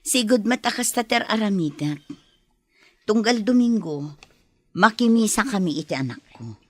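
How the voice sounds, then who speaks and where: A woman acting a gentle, devout mother in an Ilocano radio drama, speaking patiently and soothingly. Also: mid-range voice, expressive, moderate pace, no room sound, natural voice. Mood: polite. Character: gentle, devout young mother of a small child.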